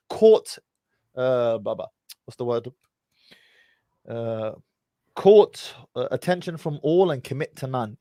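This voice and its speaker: A man's voice: a little high, a little mellow, dry recording, studio quality. A man hosting a livestream, pressing a caller with blunt questions and cutting in often. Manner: pushes back, interrupts with blunt questions. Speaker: host.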